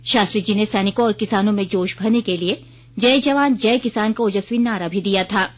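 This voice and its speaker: Formal woman news reader, slightly dull radio sound. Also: medium pitch. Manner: formal, measured announcing. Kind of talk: scripted Hindi news bulletin reading.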